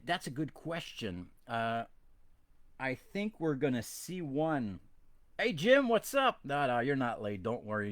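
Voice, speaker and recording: A man's voice: mid-range voice, no room sound. French-Canadian man chatting casually. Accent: Quebec French. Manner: rambling, chatty, self-deprecating. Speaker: podcast host. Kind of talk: casual bilingual live-stream chat.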